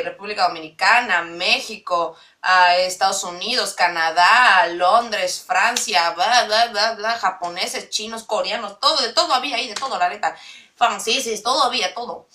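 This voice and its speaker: A woman's voice: medium pitch, normal speed, pushed and bright, far from the mic. A young woman from Mexico City with a mixed Latin American Spanish accent, chatting to camera in her bedroom, rambling and giggling between fillers. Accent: mixed Latin American Spanish with Mexico City base. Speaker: vlogger. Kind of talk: casual chat to camera. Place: small bedroom indoors.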